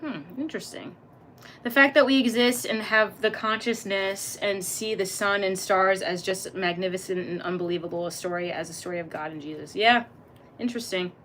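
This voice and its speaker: Bright, slangy young woman streamer. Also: medium pitch, normal speed, a little hiss or hum. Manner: rambling, slangy, animated. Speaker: livestreamer reading chat. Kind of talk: casual live-stream chat.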